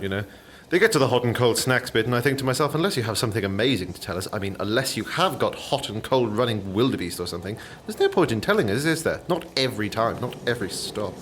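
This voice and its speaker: An affable, bumbling passenger in a radio play. His mid-pitched voice moves up and down animatedly as he rambles, hesitant and polite, over faint background noise. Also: fairly bright tone. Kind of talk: naturalistic radio drama dialogue.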